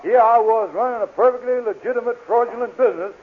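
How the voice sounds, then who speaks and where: An actor playing a scheming, blustering small-time swindler with a wheedling, hesitant manner in 1940s radio comedy. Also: male voice, extremely high pitch, fast talker. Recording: tinny, hissy old radio recording.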